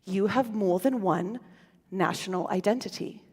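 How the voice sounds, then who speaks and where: A woman speaker, a native Russian speaker, giving a prepared stage talk in a playful, expressive manner with rhetorical questions. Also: medium pitch, moderate pace, breathy edge. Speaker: conference speaker. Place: lecture hall stage. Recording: reverberant hall with faint music.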